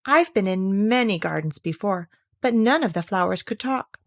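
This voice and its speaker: A woman's voice: mid-range voice, lively intonation, normal speed, dry recording, close to an everyday voice. A polite little girl from a storybook, voiced by a woman. She sounds curious and a touch timid, with a questioning way of talking. The recording is dry and close.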